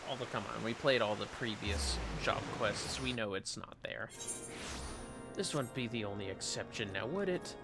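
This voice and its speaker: Offhand male streamer with creaky voice, loud music. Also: on the low side, normal speed. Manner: offhand, playful, slangy. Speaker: game livestreamer. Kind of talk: casual gaming livestream commentary. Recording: prominent music behind the voice.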